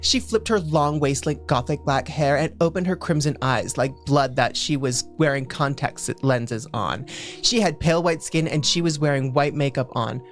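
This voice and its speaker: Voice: mid-range voice, moderate pace, faint music behind. A livestream host doing a playful, mock-dramatic reading of fan fiction, breaking off for casual asides.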